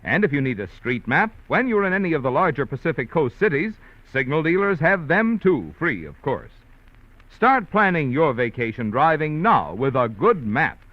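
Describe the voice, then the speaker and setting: A man's voice: on the high side, big pitch swings, normal speed, dry recording, muffled recording. A man reading a 1950s radio commercial as a sponsor announcer, in a polished, salesy, upbeat manner. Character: smooth male sponsor announcer.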